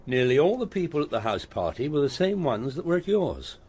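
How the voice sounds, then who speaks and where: An actor playing a curious, meticulous older English gentleman with an upper-class accent, speaking politely in a radio drama. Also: fairly high pitch, sing-song, brisk, slightly rough. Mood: polite, intrigued.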